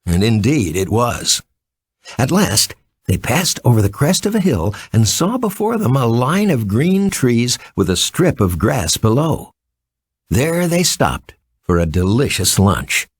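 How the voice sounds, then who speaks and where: A man reading a children's story as an expressive scripted narration. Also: medium pitch, a little slow, husky, no echo.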